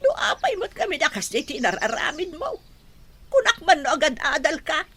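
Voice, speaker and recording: An actress playing a middle-aged, soft-hearted but reproachful mother in a radio drama, speaking emotionally with a Filipino Ilocano accent. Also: sits high, very animated, moderate pace. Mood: hurt reproach.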